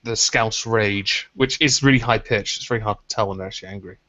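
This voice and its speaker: A man's voice: lowish voice, a little fast, pushed and bright. A man hosting a casual chat, teasing his guest with dry jokes. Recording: dull lo-fi sound.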